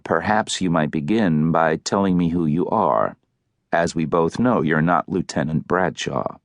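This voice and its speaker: A man voicing a shrewd elderly senior lawyer who questions formally and deliberately, in an audiobook novel. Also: low-pitched, no room sound, voice pushed down. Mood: coolly probing.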